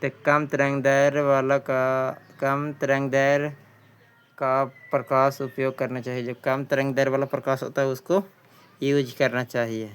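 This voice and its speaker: Male quiz tutor, smooth clear tone, flat intonation, halting read-aloud of questions and answers with repeats. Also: mid-range voice. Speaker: exam-prep tutor.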